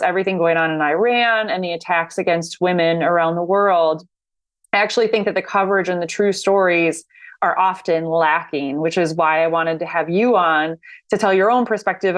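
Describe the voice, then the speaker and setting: A woman hosting a podcast interview, enthusiastic and asking long prepared questions. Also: fairly low pitch. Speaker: podcast host.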